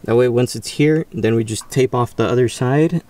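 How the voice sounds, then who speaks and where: A man's voice: mid-range voice, normal speed, a little mellow. A man hosting an informal how-to video, explaining things step by step in a casual way. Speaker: video host.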